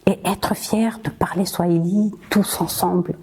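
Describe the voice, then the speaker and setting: A Rwandan-born woman hosts her own podcast in an earnest, rallying monologue. She talks slowly and often hesitates or repeats words. Her voice sits a little low and sounds mellow and husky. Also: steady tone. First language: Kinyarwanda. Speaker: podcast host.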